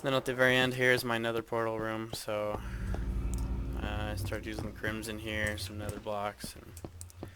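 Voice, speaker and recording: A man with a lowish voice talks through a tour of his game build in a flat, even monotone. He hesitates often and fills pauses with ums. The recording carries clear background noise from a home setup. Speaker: gaming video creator. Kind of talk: casual game walkthrough.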